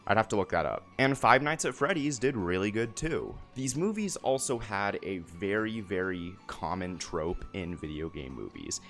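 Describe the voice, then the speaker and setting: A man's voice: on the low side, fairly bright tone. A young man giving casual, opinionated commentary to viewers in an enthusiastic, emphatic way, often repeating his phrases. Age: young adult. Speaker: video essay host. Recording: music bed behind the voice.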